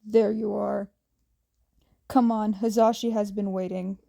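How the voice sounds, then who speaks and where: A woman doing a weary, gruff male teacher from a storybook, flat and curt. His voice sits low and mellow, slow and unhurried, as if he would rather be napping. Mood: brisk. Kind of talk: fan audiobook reading.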